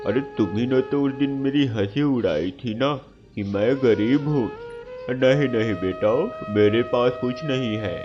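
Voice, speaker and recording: A man's voice: mid-range voice, measured pace, muffled recording, close to an everyday voice. A man voicing a village elder who moralises in cartoon dialogue. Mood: mocking, dismissive. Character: village men, stern older brother and elder neighbours. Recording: music behind the voice.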